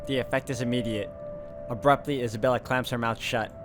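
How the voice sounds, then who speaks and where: A man's voice: medium pitch, fairly even intonation, brisk, over music. A man reading a visual novel aloud as he plays it. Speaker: video game player reading aloud.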